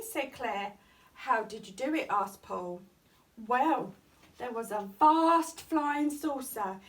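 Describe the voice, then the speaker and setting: A woman giving an expressive reading of a children's bedtime story. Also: a little high, far from the mic, rough recording. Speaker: storytime reader at a primary school.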